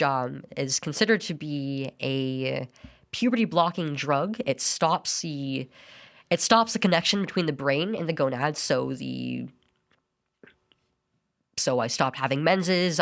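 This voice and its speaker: A woman's voice: low-pitched, measured pace. A young adult woman telling her personal story as a podcast guest, earnest and often restarting her sentences. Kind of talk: personal testimony in a podcast interview.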